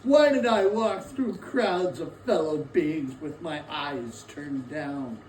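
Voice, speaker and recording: A woeful ghost, really slow n solemn stage delivery, echoey room far from the mic. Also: male voice, on the high side, a little mellow. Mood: anguished. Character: ghost of a dead business partner, mournful, tormented, warning. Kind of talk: dramatic stage reading. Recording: echoey room, distant mic, faint noise.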